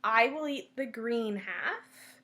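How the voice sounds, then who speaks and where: Wicked storybook queen, acted by a woman reader, vain, coaxing, high, very lively intonation. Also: slow, full character voice. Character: vain wicked queen, sly, disguised as farmer's wife. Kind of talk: storybook read-aloud.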